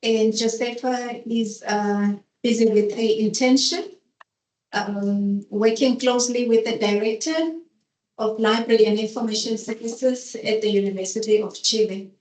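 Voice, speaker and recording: A woman's voice: fairly high pitch, fairly even intonation, unhurried, a little mellow. A woman moderating a seminar, introducing speakers in a hesitant way with many fillers. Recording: echoey conference room.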